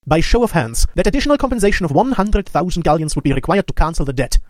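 A man plays a haughty aristocratic wizard villain for an audiobook, pitched a bit high. He talks briskly and formally, with smug, cold menace, in a very dry recording. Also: very animated, no echo. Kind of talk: audiobook character acting.

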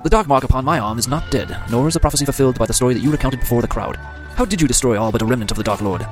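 A man's voice: mid-range voice, rapid-fire, soft background music, voice pushed up, lightly acted. A man voicing a stern, weary middle-aged male potions master who speaks formally and with resignation. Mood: grave. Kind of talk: audiobook character voice.

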